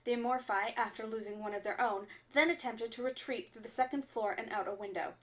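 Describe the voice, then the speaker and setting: An actress playing a composed, stern resistance radio announcer, reading formal bulletins in a measured, official way for a radio drama. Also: female voice, on the high side, lightly acted. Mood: formal, controlled.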